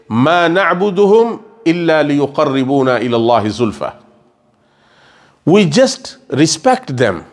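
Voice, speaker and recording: A middle-aged man giving a sermon lecture in a mosque, explaining earnestly and repeating phrases as he gestures, into a stand mic on a table. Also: medium pitch. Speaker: religious scholar. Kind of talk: sermon lecture with Quran recitation. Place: mosque lecture room, indoors.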